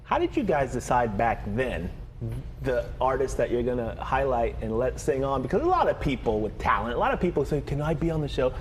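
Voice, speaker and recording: A man's voice: medium pitch, big pitch swings, brisk, slight background noise. A man hosting a casual, bantering TV interview in an informal, slangy, jokey way. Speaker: TV host interviewing guests.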